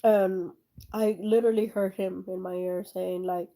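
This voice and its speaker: Mellow-voiced woman chatting while painting. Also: mid-range voice, steady tone, a little slow. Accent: slight German accent. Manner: rambling, many fillers and restarts, chuckles. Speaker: art channel host. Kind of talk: casual rambling chat while painting.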